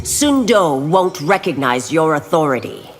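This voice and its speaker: A woman's voice: low voice, sing-song, measured pace, soft background music. An actress playing a stern, loyal woman warrior of the law in a video game, formal and resolute. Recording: long reverb, background noise.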